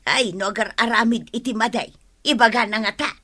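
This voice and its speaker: A woman's voice: on the high side, fairly quick, sharp tone. A woman playing a suspicious, nagging wife who keeps questioning insistently in an Ilocano comedy drama. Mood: worried, suspicious. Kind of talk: Ilocano radio-style comedy drama acting.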